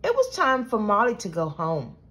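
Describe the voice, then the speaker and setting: A woman reading a children's storybook aloud with expressive, deliberate delivery. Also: mid-range voice. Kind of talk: scripted children's storybook narration.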